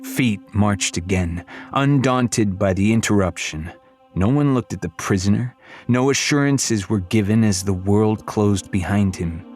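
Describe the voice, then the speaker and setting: A man's voice: fairly low pitch, a little slow, a little mellow, soft background music. A man reading scripted fantasy fiction as an audiobook narrator.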